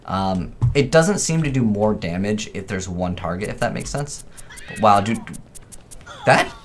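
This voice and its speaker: A man with a fairly low voice talks casually through gameplay, working things out aloud as he goes. He hesitates and questions himself often, with noticeable noise behind him. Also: lively intonation, measured pace. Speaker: gaming video creator. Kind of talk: casual off-the-cuff gameplay commentary.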